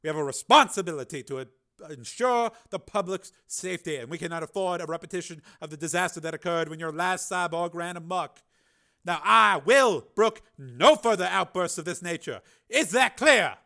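A man voicing a cold, imperious male senator who speaks in formal, clipped, threatening tones, pitched above his own voice. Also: expressive, fairly quick, sharp tone, heavily acted. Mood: stern.

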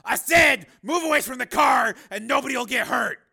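Here is a tough, impatient fighting man from an action audiobook, acted out by a male narrator. He pushes his voice up into a bright, forceful bark and snaps out clipped commands. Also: high voice, expressive, normal speed, gravelly, breathy edge, voice pushed up, heavily acted. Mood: commanding, threatening.

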